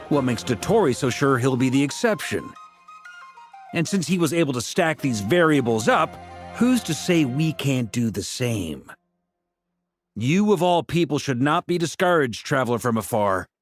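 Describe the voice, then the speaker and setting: An actor playing a relaxed, wry knight captain in a video game, encouraging his allies. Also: medium pitch.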